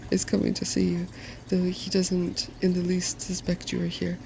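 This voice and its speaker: A narrator acts out a brisk, self-assured young woman of learning in a storybook. She is soft-spoken and cheerfully bossy, with a very creaky, even voice. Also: medium pitch, a little hiss or hum, natural voice. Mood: cheerful, decided.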